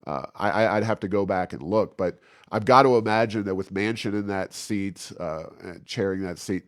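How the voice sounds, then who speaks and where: A man hosting an informal political commentary podcast, speaking in a halting, stammering way. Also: lowish voice, dry recording, clean studio sound. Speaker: podcast host.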